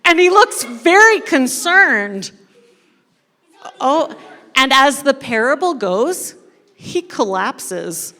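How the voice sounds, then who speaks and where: A woman giving a playful, dramatic live narration of a story, pausing deliberately as she leads an interactive reading. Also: sits high, very animated, bright, forceful tone. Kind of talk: theatrical live scripted narration of a parable. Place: large indoor church space before an audience. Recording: echoey hall with long reverb.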